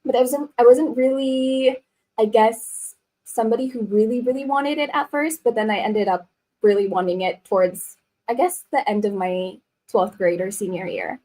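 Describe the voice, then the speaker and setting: A woman's voice: on the high side, normal speed, dark tone. A woman in her twenties, a nursing student, talking casually as an interview guest, chatty and rambling with many fillers. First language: English. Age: 20s.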